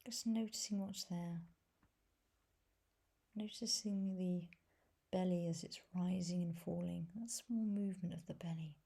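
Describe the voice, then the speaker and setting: A woman leading a guided meditation as a teacher, speaking softly and gently in a breathy voice with long pauses between phrases. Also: fairly low pitch, fairly even intonation. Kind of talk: guided body scan meditation.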